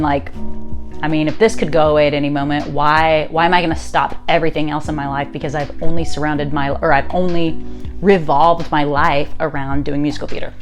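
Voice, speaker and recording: A woman in her late twenties with a deep voice, candidly telling her own story to camera in a chatty vlog, with asides and rhetorical questions. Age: late 20s. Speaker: content creator and musical theatre actress.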